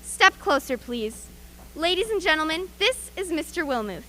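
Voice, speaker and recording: A woman playing a high, bright radio field reporter. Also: sing-song, moderate pace, a little hiss or hum, heavily acted. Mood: brisk, curious. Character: radio field reporter, eager, brisk, growing horrified. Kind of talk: radio play live news report.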